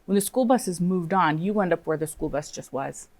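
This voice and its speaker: A woman's voice: fairly low pitch, fairly quick, darkish tone. An American woman co-hosting a kindergarten lesson, chatting expressively with questions and oohs for young children. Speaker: kindergarten teacher, TV classroom co-host.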